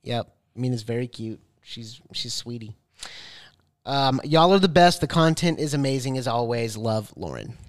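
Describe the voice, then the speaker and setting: Mid-pitched man reading casually aloud. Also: animated, moderate pace, no room sound. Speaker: podcast co-host.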